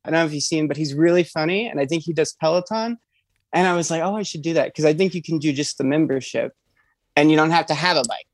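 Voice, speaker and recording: A woman's voice: deep voice, quick pace, no room sound, studio quality. A woman in her thirties asking for advice, hesitant and self-deprecating, laughing often as she talks. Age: 30s. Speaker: caller seeking advice. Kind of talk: casual chat.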